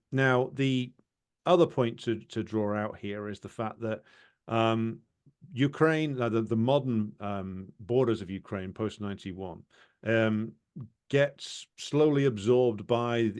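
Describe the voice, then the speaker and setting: A man with a fairly low voice hosts a talk on history. He speaks conversationally, stopping and restarting his sentences, with many fillers. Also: slow pace, clear, resonant tone. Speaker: interviewer. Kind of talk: casual political discussion.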